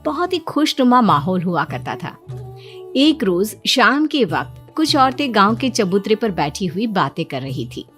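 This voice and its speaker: A woman's voice: mid-range voice, sing-song, a little slow, fairly bright tone, faint music behind. A woman reading scripted folk-tale narration as a storyteller.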